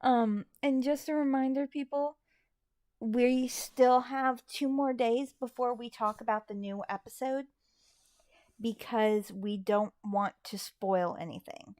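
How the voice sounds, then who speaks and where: A woman hosting a casual live stream in a fairly high voice, taking her time between phrases. The sound is close and dry, and she comes across as a little tired, with mild, folksy exclamations. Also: steady tone, takes it slowly, a little mellow, no echo. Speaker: live stream host and amateur voice actor.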